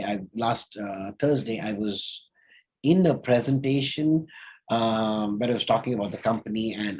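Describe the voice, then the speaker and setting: A man's voice: on the low side, darkish tone, husky, lo-fi sound. A man in his forties from India, a company CEO, talking in a casual interview with many fillers and restarts. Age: 40s.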